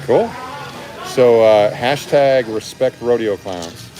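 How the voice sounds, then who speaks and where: A middle-aged man hosting a livestream with casual, joking banter and quick interjections. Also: mid-range voice, low-quality recording. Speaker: livestream host. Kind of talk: casual banter, reading viewer comments. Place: indoors in a restaurant. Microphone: handheld phone at arm's length. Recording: music playing behind, busy restaurant. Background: music.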